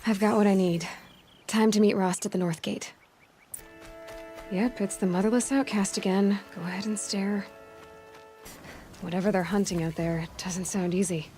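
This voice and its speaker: A woman's voice: medium pitch, steady tone, normal speed, long pauses, warm tone, close to an everyday voice. A woman acting a stubborn, determined young outcast hunter in a video game, speaking directly and wryly. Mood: wary. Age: young adult. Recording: music behind the voice.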